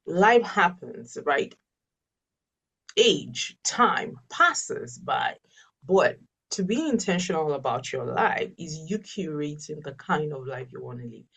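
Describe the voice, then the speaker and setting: A woman's voice: sits low, animated, takes it slowly, vocal fry, a bit bright, husky, distant mic, rough recording. A Yoruba-speaking woman, a life coach answering webinar questions earnestly and emphatically, often repeating her key phrases. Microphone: video call.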